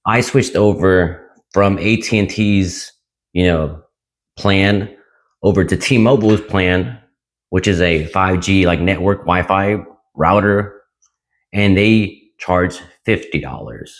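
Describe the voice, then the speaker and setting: Hoarse, very deep-voiced male vlogger, casual chatty delivery. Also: slow. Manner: casual, frequent "right?" asides. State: hoarse, recovering lost voice. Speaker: laundromat owner and channel host. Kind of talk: casual money-saving vlog.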